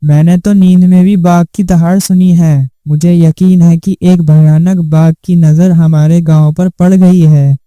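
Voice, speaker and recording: A man's voice: a little high, flat delivery, measured pace, few pauses, dark tone, dry recording, natural voice. A man playing a worried, suspicious village man in a cartoon moral story, emphatic and questioning. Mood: fearful. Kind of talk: Hindi animated moral story acting.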